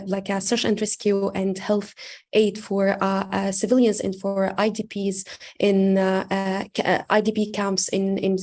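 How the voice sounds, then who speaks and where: A woman's voice: medium pitch, a little mellow. A woman from Syria introducing herself as a panelist at a conference, hesitant with many fillers. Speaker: panelist, humanitarian program officer.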